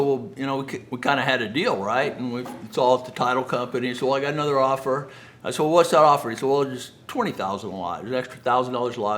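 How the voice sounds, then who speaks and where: A man's voice: medium pitch, fairly bright tone. A middle-aged man telling business anecdotes in a conversational, folksy way, often acting out quoted dialogue. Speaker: speaker, home builder. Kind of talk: anecdotal business storytelling talk.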